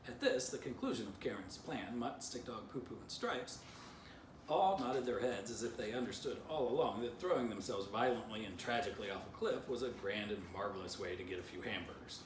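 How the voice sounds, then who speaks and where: Male author narrating a children's book, lively and expressive, mid-pitched, roomy distant mic. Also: a little fast, background noise.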